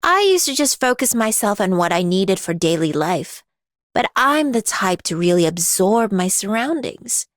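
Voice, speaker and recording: A woman's voice: mid-range voice, dry recording, clean studio sound. A woman playing a cocky, brash, ageless blacksmith warrior in a video game, with a slangy, blunt, swaggering way of talking. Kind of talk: video game character voice lines.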